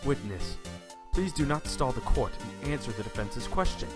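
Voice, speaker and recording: A man voicing a stern, patient judge with formal, measured speech in a fan audio drama. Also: medium pitch, animated, over loud music, natural voice.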